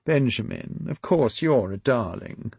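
A man's voice: medium pitch, expressive, fairly quick, creaky, no echo, voice pushed up. A man voicing a pampered royal princess who speaks in an airy, affected manner. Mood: soothing.

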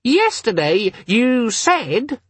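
A man voicing a small, sulky, pedantic demon from a storybook, with a squeaky, high, needling and chirpy delivery. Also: very animated, extremely slow, slightly rough, no room sound, full character voice. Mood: indignant. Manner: indignant, needling, overly chirpy.

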